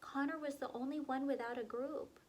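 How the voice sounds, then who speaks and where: A woman reading a picture book aloud to young children, expressive and asking them questions along the way. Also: high voice, moderate pace. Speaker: storyteller for children.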